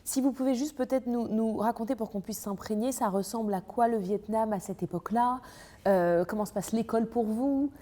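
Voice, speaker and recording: A woman's voice: fairly high pitch, fairly quick, dark tone. A woman hosting a podcast interview, asking questions and affirming her guest. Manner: friendly, affirming, frequent agreement. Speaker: podcast host. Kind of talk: interview conversation. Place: ornate hall.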